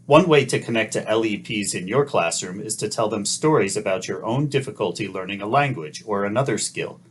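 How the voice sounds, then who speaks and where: A middle-aged man narrating a scripted teacher-training lesson in a calm, measured, explanatory way. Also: fairly low pitch, slight background noise.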